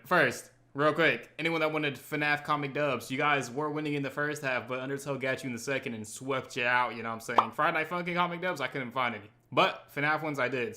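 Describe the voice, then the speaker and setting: A man's voice: mid-range voice, bright, forceful tone. A man hosting a reaction video in a casual, slangy, exclamatory way, often repeating his phrases. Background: music.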